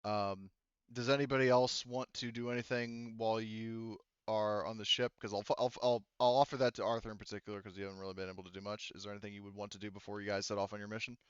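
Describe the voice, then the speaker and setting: A man's voice: on the low side, level tone, fast talker, clear, resonant tone, no echo, muffled recording. A man running an online tabletop roleplaying game as game master, chatting casually and swearing now and then.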